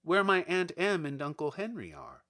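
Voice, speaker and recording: A man's voice: on the high side, big pitch swings, normal speed, pushed and bright. A man voicing a helpless, honest little girl in a storybook, speaking in an earnest, plaintive and simple way. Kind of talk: dramatic storybook character reading.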